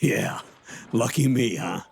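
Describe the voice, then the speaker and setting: An actor playing an older colonel in a video game, a measured, fatherly military mentor who explains himself carefully. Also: mid-range voice, gravelly, breathy edge, no echo, full character voice. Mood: awkward, subdued. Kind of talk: cinematic video game voice acting.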